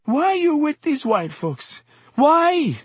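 A man's voice: sits high, expressive, takes it slowly, fairly bright tone, slightly rough, no room sound, pitched above the performer's own voice, exaggerated voice. A male audiobook reader voicing a heavyset, furious and anguished street exhorter who pleads passionately with emphatic repetition. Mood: tearful pleading. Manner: impassioned, declamatory, emphatic repetition in dialect. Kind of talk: audiobook character dialogue.

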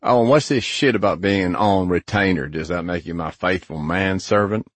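A man's voice: deep voice, normal speed, dry recording. A man voicing a middle-aged, jaded male antihero in an audiobook, trading sardonic, profane banter. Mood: teasing.

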